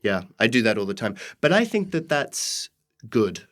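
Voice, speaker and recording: This is a man with a fairly low voice. He talks casually, as a comedian guest would in an interview, and keeps a playful, dryly joking tone with mock-earnest asides. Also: very animated, moderate pace, a bit bright. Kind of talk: casual conversational interview chat.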